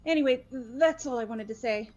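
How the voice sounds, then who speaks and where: A woman's voice: a little high, normal speed, darkish tone, lo-fi sound, soft background music, close to an everyday voice. A woman voicing a nervous, fawning teenage girl with a stutter in a game playthrough. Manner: fawning, defensive, jittery. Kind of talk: voiced visual novel game playthrough.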